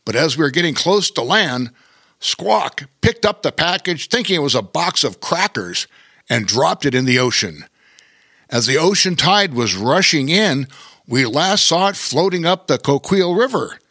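A man's voice: a little high, big pitch swings, moderate pace, no room sound, studio quality, voice pushed up, full character voice. Pete the Pirate, a man doing a kind, cheerful pirate. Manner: gentle explaining tone.

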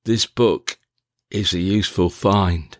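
A man narrating a scripted, reflective personal diary in a measured, deliberate, formal manner. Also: low voice, fairly even intonation, no room sound, studio quality. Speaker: diarist, playwright.

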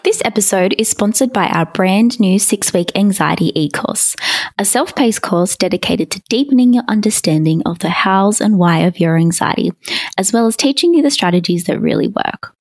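Bubbly, husky woman podcaster. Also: mid-range voice, expressive, moderate pace, darkish tone, no echo, faint music behind. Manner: bubbly, playful, laughs often. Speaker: podcast co-host, psychologist. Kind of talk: casual chat.

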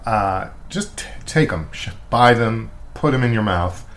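A man's voice: fairly low pitch, lively intonation, unhurried, a bit bright. An American man hosting a podcast, talking in a chatty, enthusiastic way and reading his own ads. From United States. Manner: chatty, lots of "uh" fillers, enthusiastic. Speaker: podcast host. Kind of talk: casual interview and ad read.

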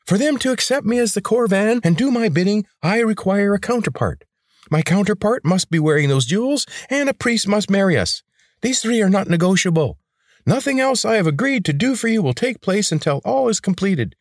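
High grating tyrant, ruthless and commanding, audiobook villain. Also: male voice, animated, brisk, no echo, studio quality. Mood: menacing. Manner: commanding, menacing. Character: high grating rebel leader, male, ruthless.

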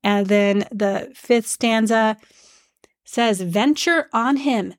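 Woman, stuffed-up nose, gentle teaching tone, dry close sound, hymn study podcast. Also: fairly high pitch, slow pace, no room sound. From Iowa, USA. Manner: gentle, teacherly, with frequent fillers. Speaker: podcast host.

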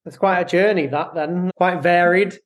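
Male host, slightly higher voice, friendly and halting with restarts. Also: steady tone. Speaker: podcast host. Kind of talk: interview hosting.

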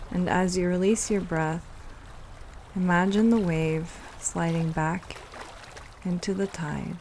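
A woman leading a guided meditation, giving soothing, unhurried instructions with pauses. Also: lowish voice, a little mellow, a little hiss or hum.

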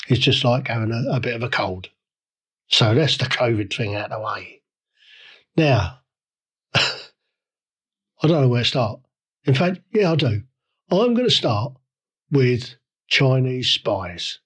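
Slightly croaky man ranting. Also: medium pitch. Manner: indignant, rhetorical questions, halting restarts. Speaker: podcast host. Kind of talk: solo opinion rant.

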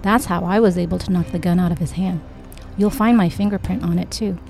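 Reassuring young woman character, read by a woman, careful, polite, explanatory, audiobook dialogue, dark soft timbre. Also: lowish voice, steady tone, brisk, vocal fry, soft background music.

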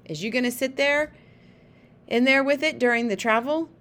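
A woman voicing a huge gentle male giant in a storybook, talking in mangled, folksy grammar with made-up words. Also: high-pitched, moderate pace, pitched above the performer's own voice. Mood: hearty. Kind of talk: storybook read-aloud.

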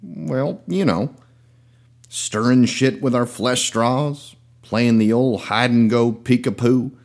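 A man's voice: mid-range voice, slow, vocal fry, a little mellow. A man playing a crude, smarmy mob ringleader in a comedy sketch, casual, mock-polite and argumentative. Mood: smug, mock-polite.

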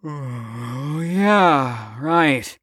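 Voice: mid-range voice, lively intonation, extremely slow, fairly bright tone, slightly rough, no room sound, clean studio sound, exaggerated voice. Drawling, flippant, exhausted young patient. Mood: tired, flippant. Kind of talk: audio drama acting.

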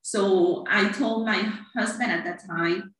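A woman's voice: medium pitch, steady tone, takes it slowly, fairly bright tone. A middle-aged woman from Cuba, chatting about her career as an accountant with many fillers. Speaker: accountant guest. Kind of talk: conversational interview. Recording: echoey, distant from mic.

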